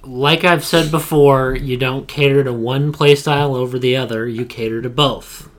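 A man's voice: medium pitch, steady tone, normal speed, a little hiss or hum. A man speaking casually as the co-host of a gaming podcast.

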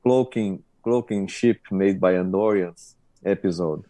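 Slow, low-voiced man, halting. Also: warm tone, dry recording. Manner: halting, often repeats words. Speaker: podcast co-host. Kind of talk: casual chat.

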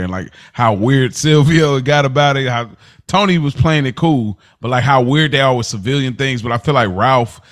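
A man co-hosting a podcast, casually recapping scenes with quick asides. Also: medium pitch. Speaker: podcast co-host.

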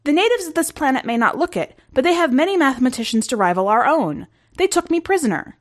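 A woman voicing a meek, sly blob alien soldier with a squeaky, plaintive delivery in a sci-fi story. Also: lively intonation, fast talker.

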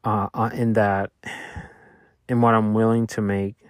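A man in his thirties whose first language is Spanish, talking through his week in a thoughtful, halting way and often restarting his sentences. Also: fairly low pitch, flat delivery, clear, resonant tone, dry recording, clean studio sound. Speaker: podcaster reflecting on his week. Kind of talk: casual reflective monologue. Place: Louisiana.

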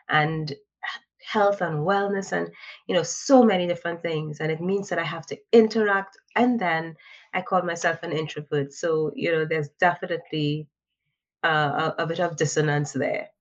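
Podcast guest: woman from Trinidad, lowish voice, chatty and reflective, dull lo-fi sound. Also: normal speed. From Trinidad and Tobago. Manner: reflective, chatty, frequent "you know" fillers. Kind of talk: conversational interview answers.

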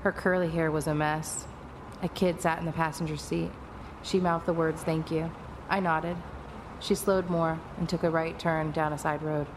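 A woman's voice: low-pitched, level tone, normal speed, noisy background, lightly acted. A woman playing an alien-fighting heroine who narrates an audio drama in the first person. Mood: tense, suspenseful. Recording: loud music bed behind the voice.

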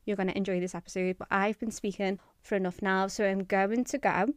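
A woman's voice: medium pitch, level tone, a little fast, smooth, no echo, clean studio sound. A woman hosting a podcast, coaching women and talking in an enthusiastic, chatty way. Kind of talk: podcast intro and interview.

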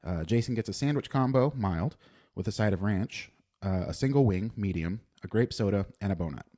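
A young man co-hosting a podcast, reading out a dry, deadpan restaurant review with frequent "uh" restarts. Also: on the low side, fairly quick. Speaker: podcast co-host. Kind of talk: casual chat, reading a written food review aloud.

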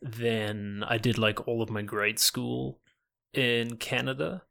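A man's voice: mid-range voice, steady tone, slow, vocal fry, pushed and bright. A man from New Zealand, a guest chatting casually about himself, laughing often and restarting his sentences. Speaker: podcast guest, online gamer. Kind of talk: casual chat.

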